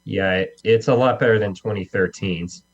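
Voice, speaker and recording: A man's voice: lowish voice, moderate pace, slightly rough. A man being interviewed as a guest, giving short, slightly hesitant answers in casual speech. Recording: muffled lo-fi sound with mains hum.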